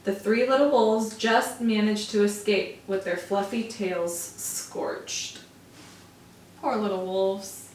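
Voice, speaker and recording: A woman teacher reading a storybook aloud to young children, with slow, expressive, drawn-out emphasis. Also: medium pitch, slight background noise. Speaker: first-grade teacher. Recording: roomy echo, from far off.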